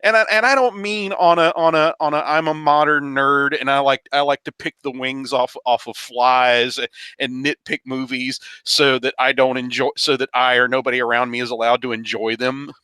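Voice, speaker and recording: A man's voice: medium pitch, fairly even intonation, moderate pace, pushed and bright, clean tone, no echo. A man on a podcast panel casually discussing films in a wry, jokey way, often repeating words as he builds a point.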